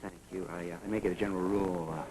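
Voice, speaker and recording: An actor playing a guarded, wry investigator in a 1980s daytime soap, talking with many hesitant pauses. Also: male voice, sits low, steady tone, lightly acted. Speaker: soap opera character. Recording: hissy 1980s TV broadcast tape.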